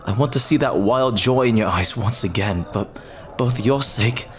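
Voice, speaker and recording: A lovestruck pirate captain, played by a man in an audio roleplay. His voice is low and deep. He speaks earnestly and hesitantly, with tender, formal, old-fashioned phrasing. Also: normal speed, noisy background, natural voice. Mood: tender, pleading. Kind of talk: ASMR roleplay acting.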